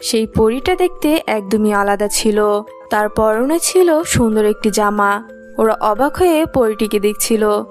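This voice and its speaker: A woman narrator reading a scripted animated story. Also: a little high, a little slow, soft background music.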